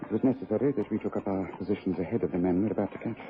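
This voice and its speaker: A man with an English accent playing a shrewd, commanding, dryly calm gentleman detective in vintage radio drama. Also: low-pitched, very fast, faint music behind, natural voice. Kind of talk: 1950s-60s BBC-style radio drama acting. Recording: phone-like old radio recording.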